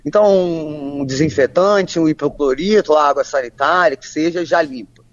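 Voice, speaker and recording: A woman, a physician answering interview questions in a measured, plain way with frequent restarts. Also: low-pitched, no room sound. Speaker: physician guest. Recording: dull, lo-fi, dry sound.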